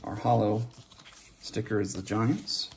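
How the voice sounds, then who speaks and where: A man's voice: lowish voice, a little slow, darkish tone. A man giving offhand, casual running commentary as host, reading out names and musing aloud over faint handling noise. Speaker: host of a trading card opening channel. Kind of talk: casual running commentary while opening card packs. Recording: background hiss, handling clicks and card shuffling.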